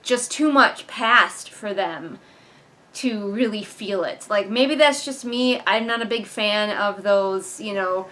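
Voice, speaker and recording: A woman's voice: medium pitch, takes it slowly, pushed and bright. A woman hosting a casual read-along, reviewing a book with exasperated, sarcastic commentary. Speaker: book reviewer and video host.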